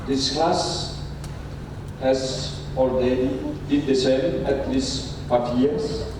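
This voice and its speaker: An older man, a native Indonesian speaker, giving a closing speech as a professor, pausing often with "uh". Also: mid-range voice, steady tone. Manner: halting, with frequent "uh" pauses. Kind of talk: speech at a lecture podium. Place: university lecture hall. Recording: echoey hall from far off, noisy.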